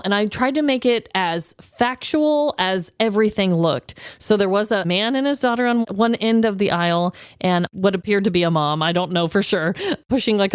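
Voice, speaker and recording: A woman's voice: medium pitch, no room sound, clean studio sound. A woman podcast host chatting casually in an informal, slangy way. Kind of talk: casual chat.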